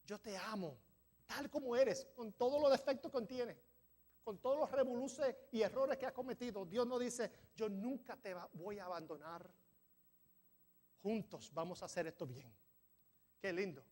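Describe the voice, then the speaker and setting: High-voiced pastor preaching with rising emphasis. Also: male voice, moderate pace, darkish tone. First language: not Spanish. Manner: preaching with rising emphasis, some stumbles over words. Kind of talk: sermon. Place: church sanctuary.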